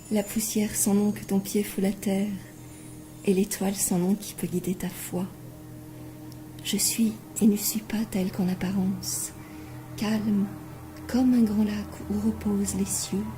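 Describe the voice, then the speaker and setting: A woman reciting poetry expressively in a breathy voice that often drops near a whisper, with deliberate dramatic phrasing. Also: mid-range voice. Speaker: poetry reader. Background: music.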